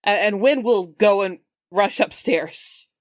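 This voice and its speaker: A woman's voice: medium pitch, slow pace, no room sound, clean studio sound. A woman casually narrating her character's moves as a tabletop game player. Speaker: player on a roleplaying podcast.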